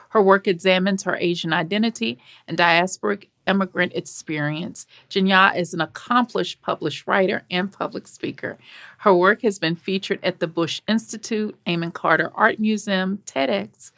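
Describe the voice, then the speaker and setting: A woman's voice: on the low side, normal speed, fairly bright tone, no room sound, studio quality. A woman hosting an interview podcast, folksy and enthusiastic. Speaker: podcast host.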